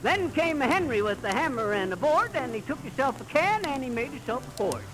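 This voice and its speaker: High swoopy hick know-it-all husband, blustery bad grammar, old 1930s radio comedy. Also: male voice, big pitch swings, brisk, over music, rough recording. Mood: rollicking recitation. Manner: rustic, ungrammatical, blustering speech. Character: folksy, boastful, argumentative small-town know-it-all husband. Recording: vintage 1930s radio recording.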